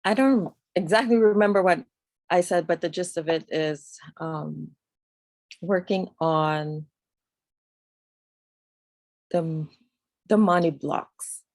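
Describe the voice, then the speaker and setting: A woman with a low voice talks as a guest over a video call. She is reflective and pauses to find her words. The sound is dry and close. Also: a little mellow, no echo. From New York.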